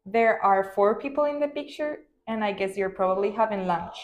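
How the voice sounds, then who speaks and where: A woman in her twenties, a native Spanish speaker, giving steady, thoughtful answers in a practice speaking exam. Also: mid-range voice, normal speed, lo-fi sound. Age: 20s. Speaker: student, exam candidate. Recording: echoey room.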